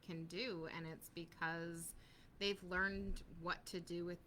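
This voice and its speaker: A woman's voice: lowish voice, little pitch movement, slow pace, sharp tone, a little hiss or hum. A woman hosting a casual casting-advice chat, talking easily and laughing often. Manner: chatty, laughs often, plays out little skits. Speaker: casting team host.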